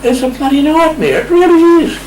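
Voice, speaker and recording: Older Australian male interviewee, plain-spoken and wry, roomy sound over a clear hum. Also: extremely high pitch, brisk. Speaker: interview guest, sailing official. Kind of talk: conversational interview, anecdotal. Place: indoor room, radio shack. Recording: roomy, distant mic, steady hum.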